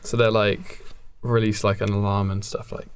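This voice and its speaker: Creaky-voiced man improvising a story. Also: lowish voice, flat delivery, moderate pace. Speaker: comedy podcast host. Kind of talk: casual improvised storytelling chat.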